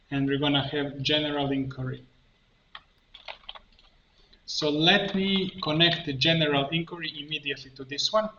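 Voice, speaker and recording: A man narrating a step-by-step software tutorial, thinking aloud in a halting way as he works. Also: on the high side. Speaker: tutorial instructor, coach. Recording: muffled, roomy, faint noise, keyboard clicks. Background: computer keyboard typing and clicking.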